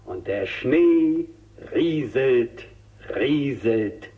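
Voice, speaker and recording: A man's voice: mid-range voice, lively intonation, extremely slow, big-room sound. Tinny, gushing TV showman. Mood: gleeful. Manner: gushing, savoring and repeating words. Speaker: TV presenter in a drama. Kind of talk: radio drama, showman-like broadcast commentary. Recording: tinny narrow-band radio drama recording.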